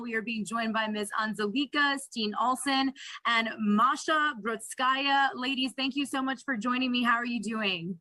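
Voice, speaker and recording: A woman's voice: fairly high pitch, bright, forceful tone, no room sound. A woman anchoring a live news show, introducing guests in long, friendly, slightly rambling sentences.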